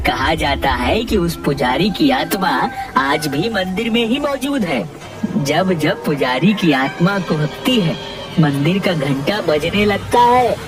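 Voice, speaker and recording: A woman voicing a solemn village elder in an animated folk tale. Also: on the low side, far from the mic. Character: solemn village elder telling of a haunted temple.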